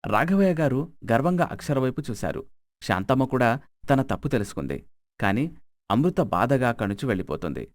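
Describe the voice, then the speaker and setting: A man's voice: medium pitch, very animated, brisk, dry recording, studio quality. A man narrating a scripted moral story as its storyteller.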